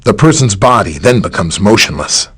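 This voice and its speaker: A man's voice: on the low side, lively intonation, normal speed, fairly bright tone, breathy edge, heavily acted. A man playing a friendly, easygoing superhero scientist in a video game, explaining things in a casual, conversational way. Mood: explanatory. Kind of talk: video game character dialogue, briefing and greeting.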